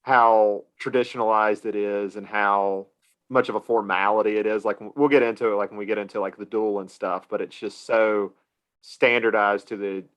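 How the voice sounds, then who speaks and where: A man co-hosting a film podcast, chatting casually and quick to jump in with questions. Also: fairly low pitch, fairly even intonation, fairly bright tone. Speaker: podcast co-host.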